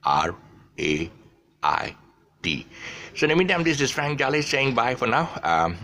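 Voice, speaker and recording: A man's voice: mid-range voice, very animated, a little slow, pushed and bright. An older man with a Malaysian English accent, giving a rambling, chatty pronunciation lesson full of restarts. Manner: chatty, digressive, many restarts. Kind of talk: rambling casual lesson monologue.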